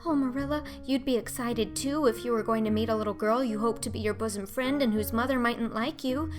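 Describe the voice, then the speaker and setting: An earnest orphan girl of eleven voiced by a woman in an audiobook, pitched high. She is imaginative and wordy, breathless and melodramatic, with music clearly behind her. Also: fairly quick, close to an everyday voice. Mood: anxious excitement. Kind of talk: audiobook character acting.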